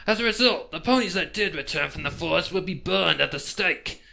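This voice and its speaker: A man voicing a wary, stern religious pony in a raspy voice, telling dark lore in a grave, theatrical way for a story reading. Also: on the high side, lively intonation, a little fast, pitched above the performer's own voice, full character voice. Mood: ominous.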